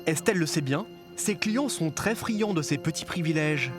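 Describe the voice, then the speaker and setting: A male documentary narrator with a notably high-pitched voice. He delivers scripted reportage voice-over, with music clearly playing behind him. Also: expressive, moderate pace.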